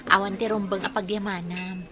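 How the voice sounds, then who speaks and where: An actress with an Ilocano accent playing a frank, caring young woman being courted in an expressive radio drama. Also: medium pitch, fairly quick, close to an everyday voice. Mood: frank, teasing.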